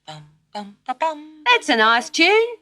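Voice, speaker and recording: A kindly mother kangaroo from a children's storybook, voiced by a woman. She talks gently and emphatically in a chatty, matter-of-fact way, taking her time as her pitch leaps up and down. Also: big pitch swings, extremely slow, full character voice. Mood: kindly reassuring.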